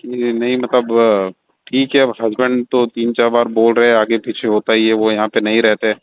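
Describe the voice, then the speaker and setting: A man's voice: medium pitch, little pitch movement, normal speed, smooth, no echo, close to an everyday voice. A man playing an insinuating landlord in his forties on a phone call, talking in a hesitant, repetitive way. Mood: coaxing, suggestive. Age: 40s. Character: insinuating middle-aged landlord pressing tenant for rent. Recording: tinny narrowband phone line.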